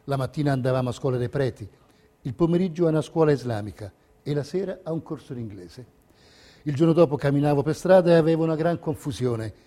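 An actor playing a narrator who recounts history and memories in scripted documentary theatre. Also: male voice, on the high side, quick pace, mellow, natural voice. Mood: evocative. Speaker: stage actor.

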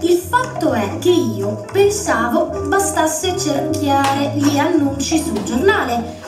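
Jobless young graduate, deadpan and wry, high voice, roomy radio play sound. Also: female voice, slow pace, recorded from a distance. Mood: reflective. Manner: deadpan, ironic replies. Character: young woman, unemployed graduate, wry and hopeful. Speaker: protagonist and diary narrator. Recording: roomy, off-mic, loud music behind.

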